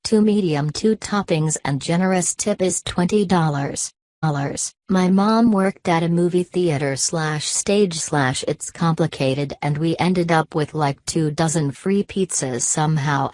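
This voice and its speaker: A synthetic female narrator with a low-pitched voice reads forum posts one after another. Her delivery is even and mechanical, and she often echoes the final word of each post. The sound is dry and close, with no room around it. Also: unhurried. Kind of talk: text-to-speech reading of online posts.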